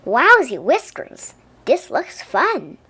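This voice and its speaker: A woman voicing an eager, high-pitched young storybook cat. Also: wildly swooping pitch, takes it slowly, sharp tone, full character voice. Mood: excited. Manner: earnest, exclamatory. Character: eager, sweet young male library cat, earnest and excitable. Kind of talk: storybook character voice.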